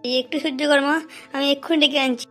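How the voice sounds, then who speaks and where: A woman's voice: high-pitched, steady tone, quick pace, pushed and bright, faint music behind. A woman voicing an anxious middle-aged village mother-in-law in a cartoon melodrama, fretful and repeating herself. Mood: alarmed. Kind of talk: amateur cartoon melodrama acting.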